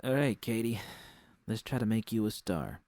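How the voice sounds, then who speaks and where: Man acting out a defiant woman technician, audiobook dialogue, blunt, combative, very deep, gravelly. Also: animated, moderate pace, a little mellow, no room sound, clean studio sound. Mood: resolved. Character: jaded, defiant, foul-mouthed woman braindance technician.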